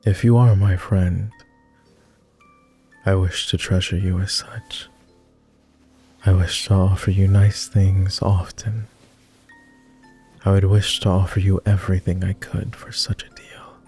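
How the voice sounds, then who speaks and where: A man playing a lonely, gentle vampire who speaks formally and haltingly in an intimate ASMR roleplay. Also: low-pitched, flat delivery, soft background music. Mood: earnest, wistful. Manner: formal, old-fashioned wording with halting, hushed delivery. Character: clingy lonely male vampire, courtly, gentle, easily flustered.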